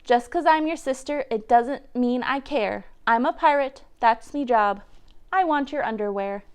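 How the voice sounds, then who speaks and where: Storytime lady doing a bossy pirate captain woman, ruthless, commanding, high clear voice, kids book. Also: measured pace, natural voice. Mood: demanding, taunting. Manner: commanding, taunting.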